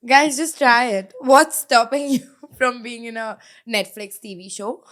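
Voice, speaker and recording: Deadpan young woman cracking jokes. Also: a little high, a little slow. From India. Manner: dry, deadpan sarcastic quips. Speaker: podcast co-host. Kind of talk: casual chat.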